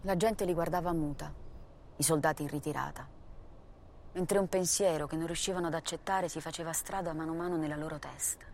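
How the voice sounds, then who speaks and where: Deep-voiced solemn woman storyteller, faint rumble. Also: steady tone, brisk, a little mellow. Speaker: narrator. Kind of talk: literary scripted narration of war history.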